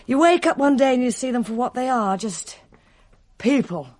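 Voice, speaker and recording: A woman's voice: on the high side, animated, normal speed, a little mellow. An actress playing an embittered, world-weary middle-aged British wife and mother in a radio play, with a brooding, sighing manner. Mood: bitter, weary.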